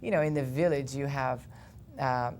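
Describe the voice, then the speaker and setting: A young man from Ukraine, earnestly telling his personal story as an interview guest, with quick animated bursts. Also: medium pitch, a little hiss or hum. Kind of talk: personal testimony in a studio interview.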